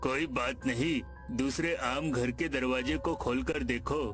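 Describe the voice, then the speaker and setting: A man's voice: medium pitch, steady tone, unhurried, natural voice. A man playing a menacing, greedy village bully in a cartoon story, with a threatening delivery. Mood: scheming. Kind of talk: Hindi cartoon story acting. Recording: music behind the voice.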